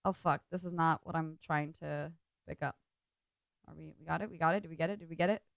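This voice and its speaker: A woman live streamer chatting casually with viewers, rambling with lots of fillers, her voice a little deeper than usual today. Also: fast, vocal fry, no echo, faint music behind.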